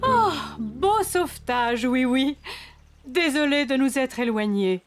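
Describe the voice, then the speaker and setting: High-voiced motherly cartoon skittle lady. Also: expressive, slow pace, faint music behind. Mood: relieved. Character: motherly, fretful female bowling-pin toy, cartoon. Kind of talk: children's cartoon acting.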